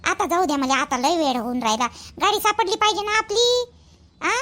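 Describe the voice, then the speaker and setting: A woman's voice: very high-pitched, animated, fairly quick, pushed and bright, exaggerated voice. A woman voicing a busy, talkative village mother in a cartoon, rambling and repeating herself in colloquial Vidarbha Marathi. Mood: explaining, hurried. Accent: Varhadi Marathi, Vidarbha. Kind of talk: rural family cartoon dialogue.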